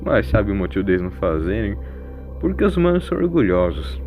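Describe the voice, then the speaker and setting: A man's voice: on the low side, big pitch swings, fast, darkish tone, soft background music. A man voicing a sarcastic, embittered and preachy wanderer in an audio story. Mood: indignant.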